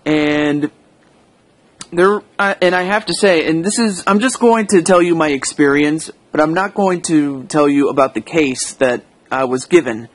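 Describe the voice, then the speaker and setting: An American man talks through an unscripted personal audio log in a slightly high voice. His delivery is slow and hesitant, wandering and doubling back to fix his own phrasing. The sound is dry, with very little room echo. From United States. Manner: hesitant, rambling, self-correcting. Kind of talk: casual unscripted monologue.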